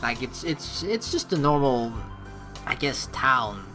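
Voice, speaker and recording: A woman's voice: very deep voice, expressive, slow pace, bright, forceful tone. A woman doing casual, chatty, rambling let's play commentary, reading out a game and reacting playfully. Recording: music under the voice. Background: game music.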